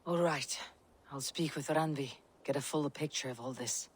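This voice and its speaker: A woman's voice: low voice, normal speed, breathy edge. An actress voicing a bold, irreverent pagan Viking warrior woman in a video game, speaking bluntly, dryly and defiantly. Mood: measured, resolute. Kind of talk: dramatic video game acting.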